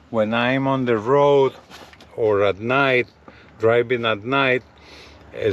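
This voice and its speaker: A man presents gear in a casual vlog style at a slow, unhurried pace. His voice sits at a middling pitch, and he often repeats himself as he points things out. There is faint vehicle noise around him. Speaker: gadget review vlogger. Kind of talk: casual hands-on product demo, addressing viewers. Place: in and around a parked pickup truck.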